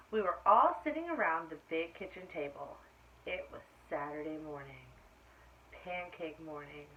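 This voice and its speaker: A woman reading a picture book aloud to young children like a teacher, with expressive storytelling delivery. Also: lowish voice. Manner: expressive storytelling, pausing to ask children questions. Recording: dull lo-fi sound with clear background noise.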